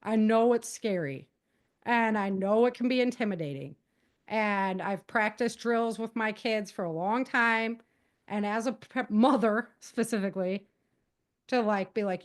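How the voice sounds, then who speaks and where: Middle-aged woman giving emphatic advice. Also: fairly high pitch. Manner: emphatic, repeats key points, acts out example dialogue. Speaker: podcast guest, author and self-defense advocate. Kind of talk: conversational interview advice.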